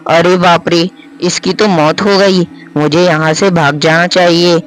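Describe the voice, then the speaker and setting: A man's voice: on the high side, flat delivery, unhurried, fairly bright tone, faint music behind, low-quality recording. A man voicing a hot-tempered, defiant schoolgirl in a spoken story, with a brash, quarrelsome manner. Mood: panicked. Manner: brash, quarrelsome, pleading when accused.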